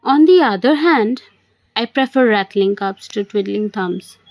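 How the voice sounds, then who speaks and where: A woman's voice: medium pitch, animated, measured pace, darkish tone, no echo. A woman reading the part of a playful, cajoling young man in an amateur audio play. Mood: wry.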